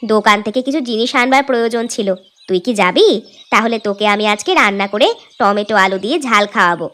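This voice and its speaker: A woman's voice: medium pitch, quick pace, a bit bright, natural voice. A woman playing a kindly older village mistress who speaks in a familiar, coaxing way. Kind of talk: Bengali cartoon fairy-tale dialogue.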